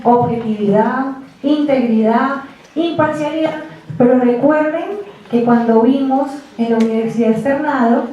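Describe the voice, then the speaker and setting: A woman giving a university lecture, chatty and lively, often asking the class rhetorical questions. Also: a little high, muffled recording. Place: lecture room. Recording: echoey hall, distant mic.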